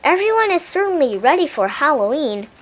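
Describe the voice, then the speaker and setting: Woman reading as a worried, high-voiced little girl. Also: big pitch swings, a little fast. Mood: earnest. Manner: earnest, hesitant, uneasy. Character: worried, polite young girl trick-or-treating with her dog.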